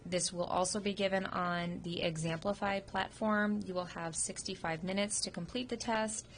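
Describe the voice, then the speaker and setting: A woman's voice: fairly low pitch, fairly even intonation, moderate pace, slight background noise. Sniffly woman teacher reviewing a test. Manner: informal, explanatory, with frequent ums. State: sick, sniffling. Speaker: radiography instructor. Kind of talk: recorded test review lecture over slides. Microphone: computer microphone.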